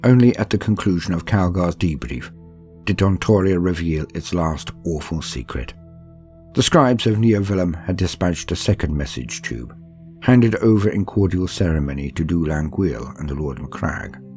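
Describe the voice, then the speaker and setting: A man reading a book aloud as a calm, steady narrator, in a soothing, measured way suited to falling asleep. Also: deep voice, soft background music. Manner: measured, soothing, unhurried storytelling.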